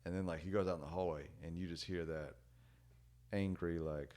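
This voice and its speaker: A young man chatting casually as a podcast guest, rambling with lots of "like" and "dude" and letting his sentences trail off quietly. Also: low-pitched, brisk. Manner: rambling, many "like" and "dude" fillers; relaxed, trails off quietly at ends of thoughts. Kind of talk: casual storytelling chat.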